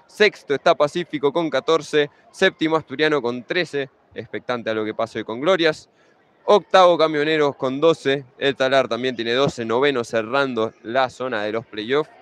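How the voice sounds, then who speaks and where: A man's voice: fairly high pitch, fairly bright tone. Young Argentine man calling futsal. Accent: Argentine Spanish. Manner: conversational, reels off standings and lineups. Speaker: match commentator. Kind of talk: pre-match sports commentary.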